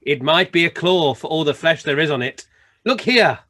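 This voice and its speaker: A man's voice: fairly high pitch, animated, normal speed, bright, forceful tone. A man playing a stern, solemn Christmas spirit with grave, declamatory delivery in an amateur radio play.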